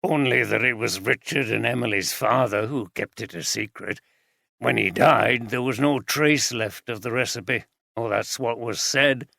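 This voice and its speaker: A man's voice: mid-range voice, measured pace, vocal fry, bright, forceful tone, dry recording, studio quality, heavily acted. A man voicing a scornful, plain-spoken brewery cooper. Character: scornful middle-aged male brewery cooper.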